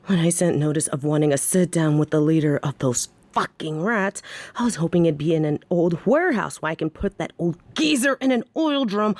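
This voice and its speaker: A woman's voice: on the low side, a little mellow, no echo, lightly acted. A woman playing a menacing, short-tempered yet protective female mafia boss in a scripted audio roleplay, with a theatrical, brooding delivery. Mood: grim, irritated.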